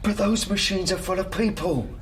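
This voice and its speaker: An actor with a British accent playing a shaken, stubbornly principled survivor of an alien invasion, blunt and emotive in an audio drama. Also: male voice, high-pitched, normal speed, roomy sound, slight background noise, lightly acted. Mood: appalled. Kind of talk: naturalistic audio drama acting.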